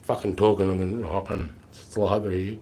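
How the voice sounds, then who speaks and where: A man in his forties with a lowish voice that sounds slightly worn from a flu. He answers a live stream's questions in a loose, rambling way, blunt and full of swearing. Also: brisk, mellow. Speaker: livestreamer answering viewer questions. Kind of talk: casual live Q&A chat.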